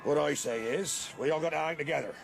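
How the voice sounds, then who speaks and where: A man's voice: a little high, fast talker, creaky, faint music behind. A man playing a blustering, suspicious farmer with a rural English accent in a radio drama. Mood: scheming. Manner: rustic, emphatic, grumbling.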